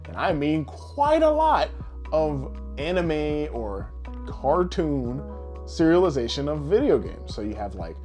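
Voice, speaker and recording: A man's voice: on the high side, sing-song, unhurried, dark tone, soft background music. A man co-hosting a podcast in casual, blunt, slangy chat with plenty of swearing. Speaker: podcast co-host. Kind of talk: casual podcast chat about games and movies.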